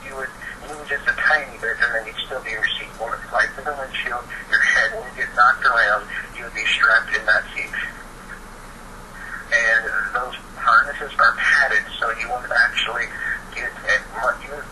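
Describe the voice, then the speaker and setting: A man's voice: medium pitch, steady tone, moderate pace, bright, forceful tone. A young man chatting casually and explaining his opinions eagerly. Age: teens or young adult. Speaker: participant in a phone chat. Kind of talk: casual chat. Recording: noisy, distant phone-call recording.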